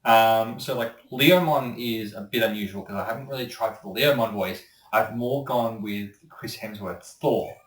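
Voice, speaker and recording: A man's voice: lowish voice, moderate pace, hardly any pauses. A man chatting casually as a voice actor being interviewed, rambling and opinionated. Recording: roomy echo, distant microphone.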